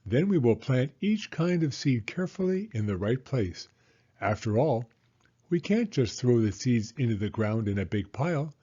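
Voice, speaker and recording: A man voicing a kindly old woman, a gentle, orderly storybook gardener who teaches. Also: medium pitch, very animated, moderate pace, breathy edge, dull audio. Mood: gentle, instructive.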